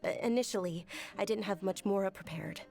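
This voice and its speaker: An actress voicing an earnest, gentle, generous young noblewoman in a video game. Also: medium pitch, a little fast, vocal fry, soft background music, close to an everyday voice. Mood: polite, sincere. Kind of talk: video game character dialogue.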